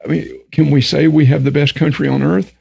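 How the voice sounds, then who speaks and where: A man's voice: on the high side, little pitch movement, fairly quick, creaky, a little mellow, slightly rough, no room sound. A man from Texas with a Texan accent, speaking as a guest on a discussion panel in an earnest, emphatic way, sometimes acting out the voices he quotes. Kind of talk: candid discussion panel talk.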